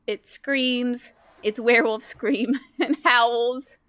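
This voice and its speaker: A woman's voice: on the high side, steady tone, slow, fairly bright tone, dry recording. A woman chatting casually and expressively as a player on a tabletop game podcast. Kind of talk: casual chat.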